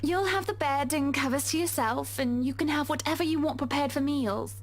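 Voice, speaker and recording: A high-pitched, refined young wife. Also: female voice, soft background music. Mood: careful. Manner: measured, refined speech breaking into swearing. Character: troubled young wealthy wife, proper but fed up. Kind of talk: game voice acting.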